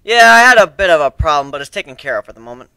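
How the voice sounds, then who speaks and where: A man's voice: on the high side, very animated, very fast, vocal fry, bright, forceful tone, clear, resonant tone, dry recording, pitched above the performer's own voice, full character voice, poor audio. A man playing a confident, commanding male pirate crew leader in a fantasy story reading, assertive and reasoning.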